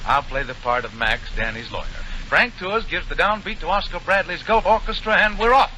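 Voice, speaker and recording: A man's voice: high-pitched, expressive, fairly quick. A man hosting a radio show in formal, showmanlike 1940s announcing style. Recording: dull, lightly noisy 1940s radio broadcast.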